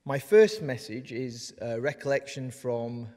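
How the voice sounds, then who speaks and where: A man's voice: mid-range voice, dark tone. Man giving an earnest speech in a hall. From North West England. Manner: earnest, measured, motivational delivery. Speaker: award recipient giving a graduation speech. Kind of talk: prepared ceremonial speech. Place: large wood-panelled ceremony hall. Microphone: lectern microphones. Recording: long hall reverb.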